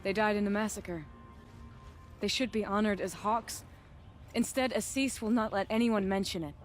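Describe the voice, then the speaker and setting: Give me an actress as a young noblewoman hunter from a dramatic video game, proud and defiant. Her mid-pitched voice is firm, assertive and determined, with music playing underneath. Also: steady tone, normal speed, close to an everyday voice. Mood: indignant.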